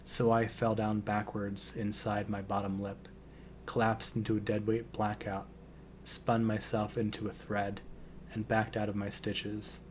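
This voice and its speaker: A man with a slightly hoarse voice, hosting a relaxed poetry reading and hesitating often with ums and restarts. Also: on the low side, unhurried, a little hiss or hum. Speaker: poetry channel host. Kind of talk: casual intro and poetry reading.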